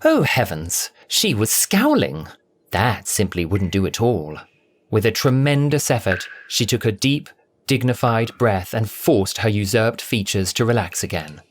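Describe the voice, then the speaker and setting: Animated male story narrator. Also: on the low side, a little slow. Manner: theatrical, expressive. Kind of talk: dramatic scripted story narration.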